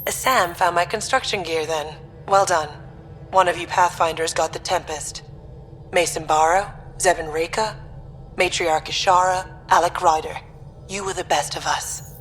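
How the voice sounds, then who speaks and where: A proud, tender woman ship designer from a video game. Her voice is on the low side and she speaks solemnly, with heartfelt fondness, as if leaving a farewell. Quiet music plays behind. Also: fairly even intonation, measured pace. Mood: solemn, fond.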